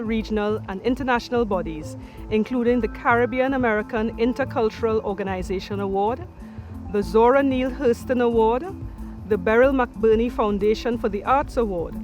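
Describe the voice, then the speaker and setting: Woman, formal ceremonial citation, fairly high voice, clear music underneath. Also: darkish tone. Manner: measured, rising to a proud proclamation at the close. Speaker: university orator.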